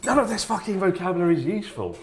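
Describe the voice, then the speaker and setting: A man telling a casual comic anecdote to friends in an animated, sardonic way, acting out quotes. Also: high-pitched, very fast. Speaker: storyteller, former English teacher. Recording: roomy echo with clear background noise.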